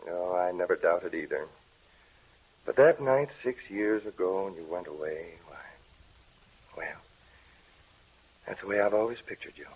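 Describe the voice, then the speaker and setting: An actor playing a troubled young research scientist in a 1940s radio drama, speaking in a tense, earnest, brooding way. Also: male voice, sits low, unhurried, long pauses, husky. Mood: longing. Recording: tinny old radio broadcast.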